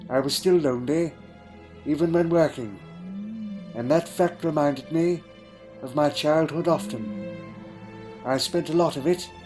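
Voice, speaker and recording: A man playing a wistful alien time traveller in a dramatic audio drama, speaking haltingly and reflectively in short phrases. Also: on the high side, darkish tone, natural voice. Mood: lonely, haunted. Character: wistful male alien time traveller recalling a lonely childhood. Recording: music under the voice.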